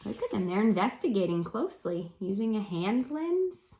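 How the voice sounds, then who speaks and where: A woman reading a story aloud for children in an expressive, coaxing way, pausing for chatty teacherly asides. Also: mid-range voice, background noise. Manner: animated, coaxing, pausing to comment and wonder.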